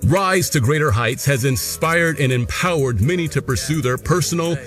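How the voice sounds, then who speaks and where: A man's voice: a little high, normal speed, a bit bright. A man hosting an event, giving a formal scripted introduction in a ceremonious announcer manner. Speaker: event host introducing a speaker. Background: music.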